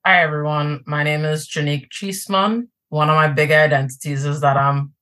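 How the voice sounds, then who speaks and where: A woman's voice: low-pitched, flat delivery, moderate pace, a bit bright. A Trinidadian woman in a casual podcast interview, chatty and easygoing, with many fillers and restarts. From Trinidad and Tobago. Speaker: podcast guest.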